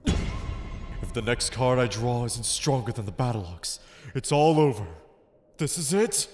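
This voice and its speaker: A man's voice: medium pitch, lively intonation, unhurried, darkish tone, a little hiss or hum, close to an everyday voice. An actor playing an earnest, determined teenage boy card duelist in an anime-style audio drama, dramatic as he thinks aloud and calls out moves. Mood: anxious.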